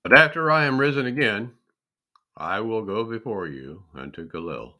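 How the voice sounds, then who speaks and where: A man's voice: fairly low pitch, lively intonation, moderate pace, bright, forceful tone. A man voicing a grave, tender and sorrowful holy teacher in a dramatic scripture reading, solemn and drawn out. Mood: grave foretelling.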